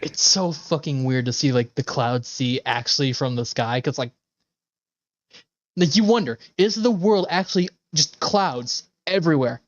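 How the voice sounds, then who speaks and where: A man's voice: on the high side, moderate pace, no echo. A man giving casual live game commentary as a streamer, rambling and sweary with enthusiastic asides. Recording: slightly dull, dry microphone.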